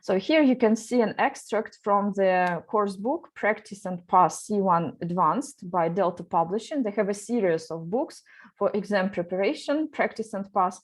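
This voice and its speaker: A woman's voice: mid-range voice, normal speed. A woman running an online seminar for teachers, talking with many fillers and restarts. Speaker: teacher trainer.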